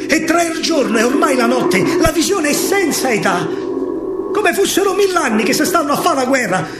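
A male stage actor with a Roman accent declaims a verse story in rhythmic, emphatic phrases. His voice sits high and swings widely in pitch. There is clear noise behind him, as in a live stage recording. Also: very animated, moderate pace. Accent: Roman Italian. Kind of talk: theatrical verse monologue, dramatic storytelling.

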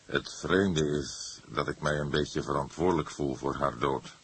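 A man voicing a weary, somber older police detective in an audiobook, speaking in a languid, measured manner. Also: extremely low pitch, slightly rough, exaggerated voice.

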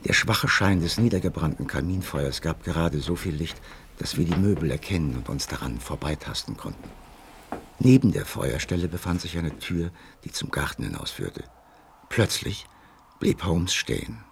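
Anxious loyal sidekick guy telling a detective radio play, super low creaky voice. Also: very animated, moderate pace, a little hiss or hum, full character voice. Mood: tense narrating. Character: Holmes's anxious loyal companion, narrating their nighttime burglary. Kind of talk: radio drama narration and dialogue.

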